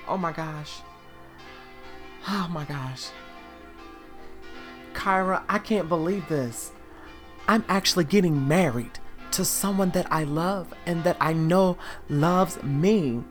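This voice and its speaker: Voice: medium pitch, slow, long pauses, close to an everyday voice. A performer voicing an emotional young bride who gushes breathlessly, with faint music behind. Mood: giddy.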